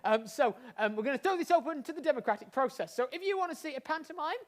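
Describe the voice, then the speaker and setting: A British man hosting a live improvised comedy show in an excitable, rambling, effusive way. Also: extremely high pitch, fast talker. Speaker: host. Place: indoors, live online show.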